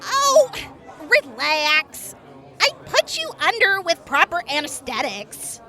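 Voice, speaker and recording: An eager young vault medic gushes in a very high, swooping voice, as a woman plays her. She takes her time between bursts of excitement and teasing jabs, in a fan audio drama. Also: slow, faint music behind, full character voice.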